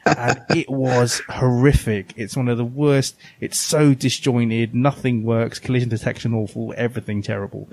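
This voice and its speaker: A man's voice: medium pitch, fairly even intonation, normal speed, a little mellow, dry recording. A man co-hosting a podcast, chatting casually and giving opinions with emphasis. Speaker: podcast co-host. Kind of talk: casual retro gaming chat.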